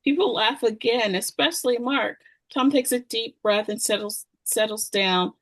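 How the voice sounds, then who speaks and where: A woman's voice: fairly high pitch, moderate pace, dry recording. A woman narrator reading scripted screenplay directions at a table read.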